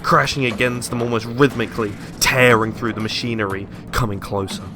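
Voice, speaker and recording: A man giving a dramatic, deliberate audiobook narration of a suspenseful horror story. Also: mid-range voice, fairly even intonation, background noise. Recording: music under the voice.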